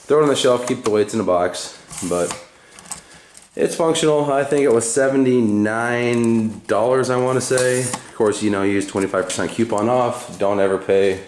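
A relaxed man with a fairly low voice rambles through a hands-on tool demo in his garage. His speech is full of fillers and self-corrections, picked up by the camera mic. The concrete room adds a hard echo, with faint noise behind him. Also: normal speed. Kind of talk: casual how-to demonstration. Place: home garage with concrete floor.

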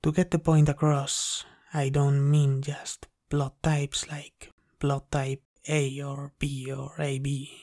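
A man playing an obsessive, dominant vampire in an ASMR roleplay, speaking in a hushed, intimate voice that lapses into whispers. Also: mid-range voice, completely flat delivery, slow pace, few pauses, dry recording, studio quality. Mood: confiding, possessive. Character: obsessive, dominant male yandere vampire, starved for blood. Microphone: close, dry microphone.

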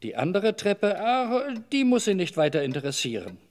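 A man's voice: high-pitched, sing-song, moderate pace. A man playing an evasive, overly cordial middle-aged master of a house in a German radio drama, wordy, hesitant and patronizing. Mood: evasive, warning.

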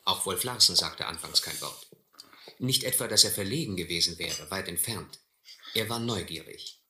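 An actor playing an educated gentleman who narrates his sea adventure in measured, literary first person. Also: sits low. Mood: reflective. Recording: roomy old radio-play recording, faint noise.

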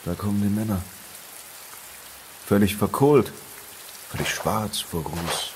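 An actor playing a frightened, weary man in a horror radio drama, speaking in hushed dread. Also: on the low side, takes it slowly. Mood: appalled. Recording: noisy hiss or rain ambience.

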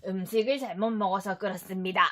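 A woman voicing a wise, polite adult mentor at a royal court in a storybook, measured and instructive. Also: mid-range voice, steady tone, fairly bright tone, pitched below the performer's own voice, lightly acted.

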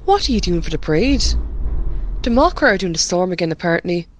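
A woman's voice: on the low side, big pitch swings, quick pace, slight background noise. An Irish woman playing a chatty rural committee member in an amateur radio drama. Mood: matter-of-fact.

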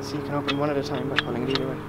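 A man's voice: mid-range voice, racing, heavy background noise. Young man touring his sailboat. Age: young adult. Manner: relaxed, matter-of-fact explaining with fillers. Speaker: sailboat owner giving a boat tour. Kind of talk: casual explanatory vlog. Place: outdoors on a small sailboat in a harbour. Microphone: camera held by someone nearby. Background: music.

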